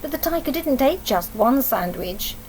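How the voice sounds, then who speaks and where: High-voiced English woman reading a storybook. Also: moderate pace, a little hiss or hum. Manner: expressive, explaining, asks tag questions. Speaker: teacher reading to children. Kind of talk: storybook read-aloud with asides to young children.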